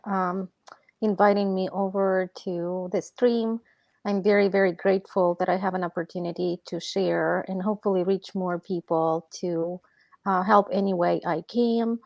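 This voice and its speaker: Thoughtful woman from Ukraine, measured guest speaker, dry close sound. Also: medium pitch, fairly even intonation, a little mellow, no room sound. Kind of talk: conversational interview.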